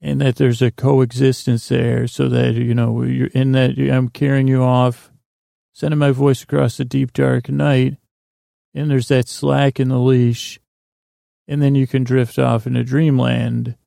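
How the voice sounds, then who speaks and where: A man hosting a sleep podcast in a lulling, soothing tone, meandering haltingly through a bedtime monologue with stammers and repeats. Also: medium pitch, flat delivery, vocal fry, warm tone, dry recording, studio quality. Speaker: podcast host. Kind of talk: rambling bedtime monologue to lull listeners to sleep.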